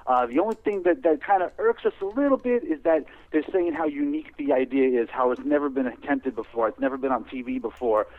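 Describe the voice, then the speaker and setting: A man's voice: fairly high pitch, quick pace, few pauses, dry recording. A man calling in as a guest on a radio chat, talking hesitantly with many fillers. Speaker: guest on the phone. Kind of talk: casual radio interview. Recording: dry tinny phone line.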